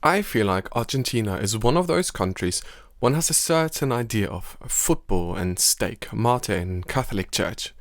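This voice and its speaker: A man hosting a podcast, reading scripted narration in a measured way. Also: medium pitch, dry recording. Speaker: podcast host. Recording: dry studio voice-over with music.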